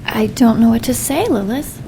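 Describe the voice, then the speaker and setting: An actress playing a meek, bewildered underling in an audio drama, speaking hesitantly. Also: female voice, fairly high pitch, animated, normal speed, dark tone, a little hiss or hum, low-quality recording. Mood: confused, nervous. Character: timid, bewildered female underling.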